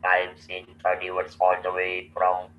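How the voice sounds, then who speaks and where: A man, telling his own story slowly and deliberately as a guest, in a flat, low monotone voice. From India. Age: 60s. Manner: slow, deliberate, halting with restarts. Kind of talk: slow personal storytelling. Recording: roomy, distant, muffled with faint noise.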